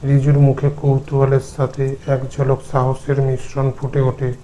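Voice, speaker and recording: Mellow male story narrator. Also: medium pitch, monotone, measured pace, slight background noise, rough recording. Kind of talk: scripted horror cartoon narration.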